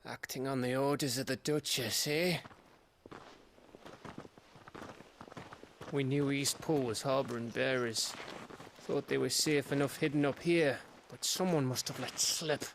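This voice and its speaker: A man playing a rough-spoken, loyal rebel scout in a video game, blunt and colloquial. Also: medium pitch, fairly even intonation, normal speed, a little hiss or hum, natural voice. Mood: bitter regret. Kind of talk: video game cutscene acting.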